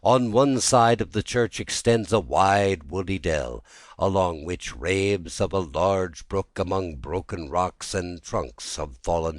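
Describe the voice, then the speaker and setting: A man narrating a classic ghost story as a scripted reading, in a measured storytelling manner. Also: low-pitched, no echo. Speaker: audiobook narrator.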